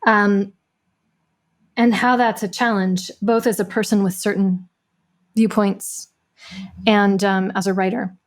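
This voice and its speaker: A woman in a thoughtful discussion, phrasing her questions and points in a deliberate, articulate and emphatic way. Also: medium pitch, fairly even intonation.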